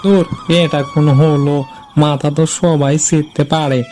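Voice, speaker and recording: A man's voice: fairly high pitch, fairly even intonation, moderate pace, darkish tone, a little hiss or hum, natural voice. A man voicing a cheeky, fearless schoolboy in a cartoon, talking in a defiant, dismissive way. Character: cheeky fearless schoolboy who is bad at maths. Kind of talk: cartoon character dialogue.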